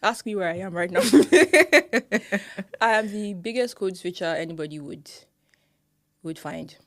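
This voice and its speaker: A young Nigerian woman with a Nigerian accent, co-hosting a podcast in casual, animated chat and laughing often. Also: medium pitch, measured pace, dry recording, studio quality. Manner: animated, laughs often, quotes people in put-on voices. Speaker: podcast co-host.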